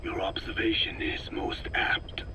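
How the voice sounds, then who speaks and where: An actor playing a grave old scientist in a video game, with a hushed raspy voice and a formal, deliberate, lecturing manner. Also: male voice, in a whisper. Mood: grave, explanatory. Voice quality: hushed, breathy, gravelly rasp. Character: grave old male parasite scientist, measured and learned. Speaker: scientist explaining parasites.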